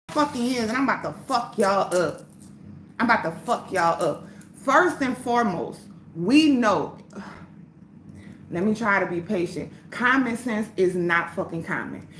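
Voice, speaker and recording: A woman's voice: sits high, pushed and bright, reverberant, a little hiss or hum. A woman hosting a livestream, ranting in a heated, profane, preachy way. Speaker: livestream host.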